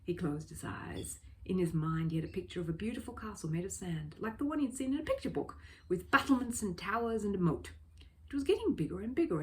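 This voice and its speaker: A woman reading a children's story aloud as an expressive narrator. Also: medium pitch. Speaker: storybook reader.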